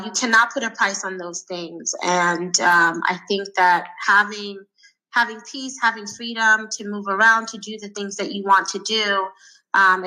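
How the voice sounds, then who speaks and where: A woman in her thirties from Sweden, answering interview questions thoughtfully with frequent pauses and fillers. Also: mid-range voice, pushed and bright, low-quality recording. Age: 30s. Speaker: guest.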